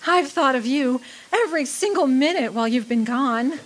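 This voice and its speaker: A woman's voice: high-pitched, lively intonation, moderate pace. A woman acting in a radio drama as an adoring, earnest young sweetheart, romantic and hopeful. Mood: fond, excited.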